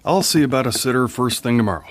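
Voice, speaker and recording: An actor playing a gentle, weary widowed father in a radio drama, measured and restrained. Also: mid-range voice, lively intonation, close to an everyday voice. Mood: patient, reluctant. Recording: studio radio play with music underneath.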